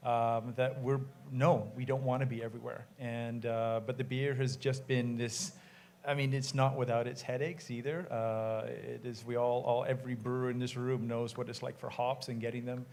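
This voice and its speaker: A man's voice: medium pitch, little pitch movement, normal speed, darkish tone, slight background noise. A man who co-owns a brewery, answering passionately and emphatically on a panel in front of an audience, speaking into a microphone. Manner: emphatic, passionate, quotes conversations. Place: event room with an audience of brewers.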